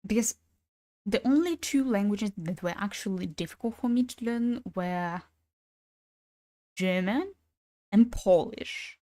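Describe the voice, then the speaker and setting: A woman's voice: mid-range voice, takes it slowly, pauses often, warm tone, no room sound, clean studio sound. Chatty young woman streamer, Ukrainian native. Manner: chatty, swears casually, laughs between thoughts. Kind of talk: casual gaming stream chat.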